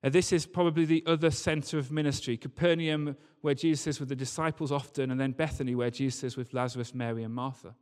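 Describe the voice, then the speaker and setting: A man's voice: medium pitch, fairly even intonation, quick pace, few pauses, a little mellow, smooth. A man preaching a sermon, reading scripture and talking it through in a conversational, informal way. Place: large reverberant hall, church service. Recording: long hall reverb.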